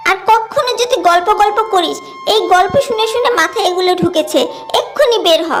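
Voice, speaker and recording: A performer voicing an impatient village mother in a cartoon story, nagging her young daughter briskly. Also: very high voice, lively intonation, a bit bright. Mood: scolding. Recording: background music.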